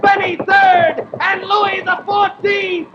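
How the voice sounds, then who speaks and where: An American man playing an excitable, urgent play-by-play sports announcer in a 1930s radio comedy. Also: very high-pitched, takes it slowly. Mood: frantic, thrilled. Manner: urgent, rising to shouts. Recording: scratchy, muffled 1930s radio broadcast.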